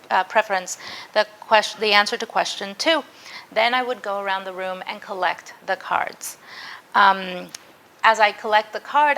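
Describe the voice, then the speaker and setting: Bright-voiced woman teaching a workshop. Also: mid-range voice, low-quality recording. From Mexico. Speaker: university professor. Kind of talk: interactive workshop presentation.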